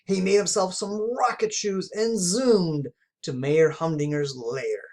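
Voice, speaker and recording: Expressive storybook reader, mid-pitched voice. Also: a little slow. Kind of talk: children's storybook read-aloud.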